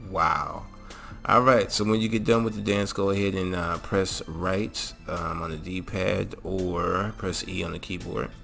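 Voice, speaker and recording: A man's voice: low-pitched, fairly even intonation, measured pace, gravelly, fairly bright tone, faint music behind. A man hosting a gaming channel, walking through a mod tutorial in a casual way with lots of fillers and a repeated "all right?".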